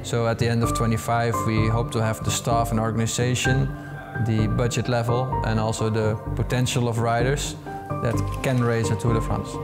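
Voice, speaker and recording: A man's voice: mid-range voice, little pitch movement, normal speed. A man explaining his team's situation in an interview style. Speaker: cycling team staff member. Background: loud music under the voice.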